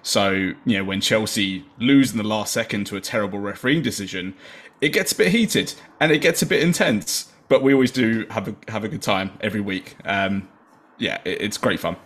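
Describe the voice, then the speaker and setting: A man, a guest podcast host, chatting casually about football with lively emphasis. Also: lowish voice, fairly quick. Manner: chatty, lively, fillers like "you know".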